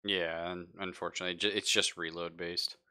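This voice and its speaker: Low, bright-voiced man game mastering. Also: little pitch movement, fairly quick, clean tone. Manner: hesitant, searching for words. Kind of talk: casual improvised narration of a tabletop game.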